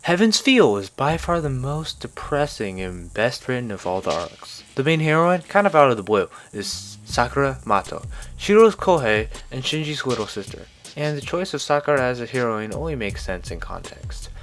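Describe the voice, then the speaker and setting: A man's voice: mid-range voice, big pitch swings, moderate pace, few pauses, fairly bright tone. A man reading a scripted video review in an informal, opinionated way. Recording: music behind the voice. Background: background music.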